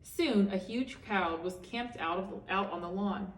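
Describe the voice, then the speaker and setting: A woman's voice: mid-range voice, slight background noise. A woman reading a children's picture book aloud as a teacher, expressively, for young listeners. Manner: expressive, pausing to ask listeners questions. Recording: echoey room, distant microphone.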